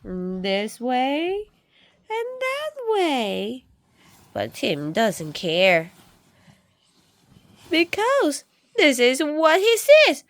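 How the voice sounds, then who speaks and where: A woman reading a picture book aloud to young children, dramatically, with drawn-out words and shifts between hushed and loud delivery. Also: high-pitched, wildly swooping pitch, dry recording. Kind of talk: animated read-aloud storytelling for young children.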